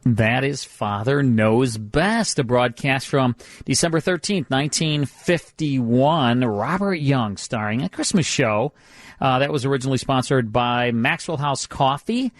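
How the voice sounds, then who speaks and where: A man's voice: medium pitch, animated, a little slow, dry recording. A man hosting a radio show, chatting casually about old broadcasts into a close microphone. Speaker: radio host. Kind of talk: casual archival show commentary.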